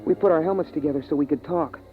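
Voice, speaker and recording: A man's voice: fairly high pitch, very fast, natural voice. A young male actor playing an earnest, wondering boy in a 1950s radio drama, with plain, homespun phrasing. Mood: reflective. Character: earnest, wondering young boy narrating a bleak frozen world. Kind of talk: 1950s radio drama acting and first-person narration. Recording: tinny vintage radio recording with music.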